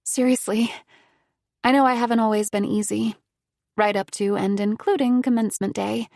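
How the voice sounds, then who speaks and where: A woman voicing an eager, earnest young woman with a bright, breathless manner. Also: fairly high pitch, steady tone, moderate pace, gravelly, no echo, clean studio sound, pitched above the performer's own voice.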